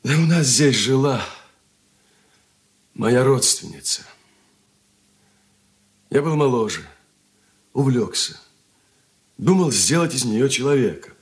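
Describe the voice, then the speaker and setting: An actor playing a nervous, evasive suitor in a radio drama, speaking earnestly and hesitantly in a theatrical way. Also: male voice, mid-range voice, lively intonation, takes it slowly, long pauses. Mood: earnest confession. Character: nervous, evasive suitor confessing to his fiancée, then pleading. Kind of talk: Soviet radio drama acting.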